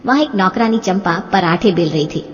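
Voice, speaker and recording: A woman's voice: fairly low pitch, brisk, muffled recording. A woman narrator reading a scripted story for children. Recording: long hall-like reverb.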